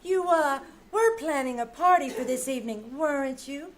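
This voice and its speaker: A woman's voice: high voice, lively intonation, moderate pace, a little hiss or hum. A woman playing a coy, sassy dancer who is brazenly businesslike, in an old-time radio comedy. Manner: coy, suggestive, teasing.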